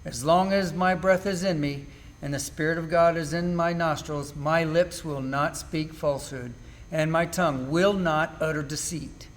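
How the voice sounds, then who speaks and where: A man's voice: fairly high pitch, steady tone, normal speed, close to an everyday voice. An amateur actor, a man, playing a suffering, bitter but steadfast man in a solemn, declamatory Bible drama reading. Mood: defiant. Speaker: church class amateur actor.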